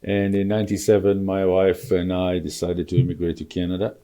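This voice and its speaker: Casting: man in his 60s, from Israel, guest speaker, low relaxed mellow voice, unhurried with fillers. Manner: measured, halting with many fillers. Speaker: podcast guest, real estate broker. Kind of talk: casual interview talk.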